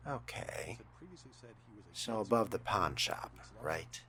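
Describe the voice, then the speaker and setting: A man's voice: low-pitched, slow pace, exaggerated voice. A man voicing a suave, cool-headed vampire fledgling in video game role-play, with droll, formal wording. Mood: businesslike. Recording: loud music under the voice.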